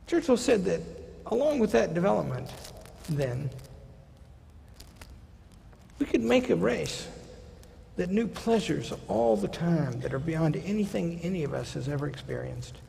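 A man in his sixties, a college president, gives a seminar lecture from a podium, conversational with wry asides and slowing for quotations. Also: fairly high pitch, gravelly, mellow, slight background noise. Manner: conversational, wry asides, slows down for quoted passages. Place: large lecture hall stage at a podium. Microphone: podium microphone. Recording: hall reverb.

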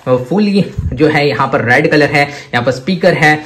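Man, slightly high voice, Indian accent, excitable, gushing, enthusiastic product showcase, repeated superlatives. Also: unhurried. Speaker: toy reviewer and presenter.

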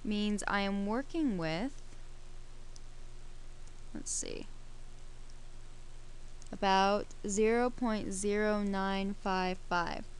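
A woman's voice: medium pitch, drawn-out words, pauses often, clear, resonant tone, low-quality recording. A woman teaching a math lesson over a screen recording, explaining each step while she works the problem.

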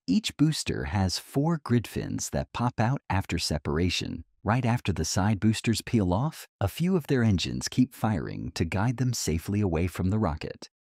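A man's voice: fairly low pitch, vocal fry, a little mellow, husky, no echo, clean studio sound. A man reading a scripted explainer narration in a casual, conversational tone.

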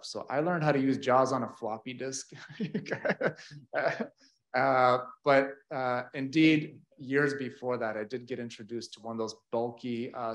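A man from Ukraine in his thirties, speaking on a panel into a handheld mic in a large event space, chatty and self-deprecating, laughing between points. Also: mid-range voice. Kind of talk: panel talk.

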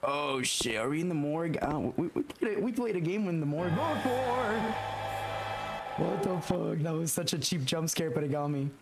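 A young man with a hoarse, raspy voice from being sick, talking through a horror game and reacting to scares. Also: fairly high pitch, expressive, moderate pace, over loud music. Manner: jumpy, swearing, talks to himself while playing. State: hoarse from illness. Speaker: gaming YouTuber. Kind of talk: horror game let's play commentary. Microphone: headset.

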